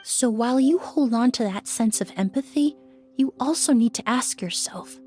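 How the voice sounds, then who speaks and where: An actress playing a composed young perfumer who cleans up crime scenes in a game, speaking in a calm, measured, reasoning way. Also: female voice, fairly high pitch, natural voice.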